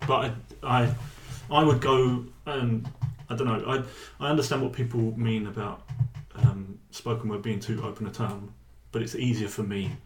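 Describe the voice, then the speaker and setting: English spoken word performer, rambling and self-interrupting, mid-pitched, roomy echo with faint hiss. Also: male voice, flat delivery, normal speed, hardly any pauses. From England. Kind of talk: casual interview chat.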